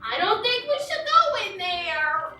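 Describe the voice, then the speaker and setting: Super high nervous little puppet, jittery, repeats words, really echoey. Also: very animated, a little slow, pushed and bright, full character voice. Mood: hesitant, worried. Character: timid, anxious young friend puppet, Bubbles. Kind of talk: puppet show acting. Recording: roomy echo, distant mic, music behind.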